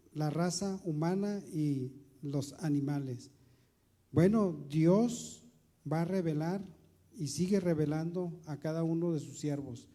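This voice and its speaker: Male pastor, high-pitched yet dark and mellow, preaching slowly and emphatically with repeated words. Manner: preaching with slow, emphatic stretches and rising emphasis; repeats words while searching. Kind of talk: sermon.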